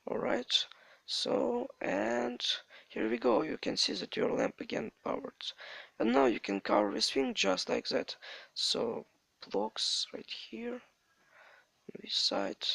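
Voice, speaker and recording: A man from Ukraine with a Ukrainian accent, a native Ukrainian speaker, talking viewers through a game build step by step in halting non-native English. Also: high-pitched, vocal fry, a bit bright, no echo. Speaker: game tutorial creator. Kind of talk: step-by-step gameplay tutorial narration.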